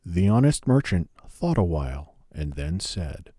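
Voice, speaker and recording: Guy reading a fairy tale, dark voice, slow and solemn, super dry close sound. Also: deep voice, sing-song, no room sound. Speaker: storyteller. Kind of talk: scripted fairy-tale narration.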